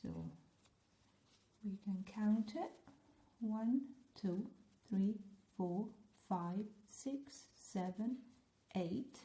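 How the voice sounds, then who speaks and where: An Italian woman walks viewers through crochet stitches at an unhurried pace, her voice mellow and soft-edged. She keeps it light and chatty, slipping in gentle jokes between instructions. The room around her sounds echoey. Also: medium pitch, big pitch swings. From Italy. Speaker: crochet teacher. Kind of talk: step-by-step craft tutorial.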